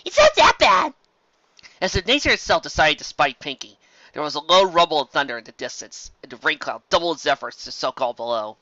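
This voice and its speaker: Man, amateur story reader, animated narration, bright forceful tone, muffled lo-fi recording. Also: fairly high pitch, fast, no echo. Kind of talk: expressive scripted storytelling narration.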